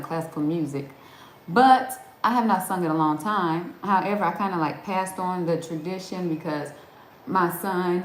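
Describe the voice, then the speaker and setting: A middle-aged woman chats on a home livestream, reading viewer comments and answering them as she goes. She laughs often and breaks off mid-sentence to start over. Her voice rings with room echo, and a faint hum of ambience sits behind it. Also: fairly low pitch. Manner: chatty, laughs often, trails off and restarts. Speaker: livestream host. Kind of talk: casual live chat reading viewer comments.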